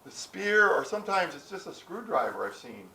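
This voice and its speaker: A man's voice: on the high side, very animated, moderate pace, sharp tone. A middle-aged man giving a casual, rambling talk to a small audience, full of restarts and asides. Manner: rambling, folksy, many restarts. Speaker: presenter. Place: outdoor historic site with listeners. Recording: roomy, distant mic with background noise.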